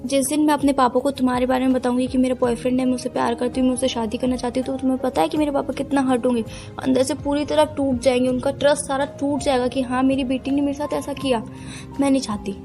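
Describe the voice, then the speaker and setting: Actress as a high-voiced, insistent young girlfriend. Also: level tone, quick pace, music in the background. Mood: earnest, resolute. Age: young adult. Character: young girlfriend breaking up, firm, pained, torn by family. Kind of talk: acted phone-call drama in conversational Hindi with some English.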